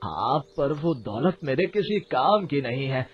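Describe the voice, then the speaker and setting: A man's voice: mid-range voice, sing-song, unhurried, crowd noise. A man voicing a rich, whining, hot-tempered merchant in a dramatized story, theatrical and blustering. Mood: miserable, pleading. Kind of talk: dramatized Hindi moral story acting. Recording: dull, muffled audio under music.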